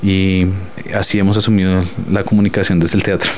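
A man's voice: low voice, level tone, fairly quick, darkish tone, slight background noise. A Colombian man, a theatre director, speaking spontaneously and earnestly in an interview, often adding short tag questions.

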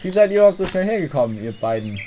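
A man's voice: high voice, very animated, racing, highs cut off. A man playing a teasing, bickering young detective in a loosely improvised parody audio drama. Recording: noisy amateur recording.

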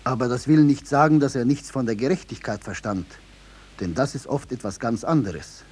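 An actor as the narrator of a 1950s German radio play, telling a parable in a measured, explanatory way. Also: male voice, medium pitch, darkish tone, husky, close to an everyday voice. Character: calm storyteller narrating a folk parable.

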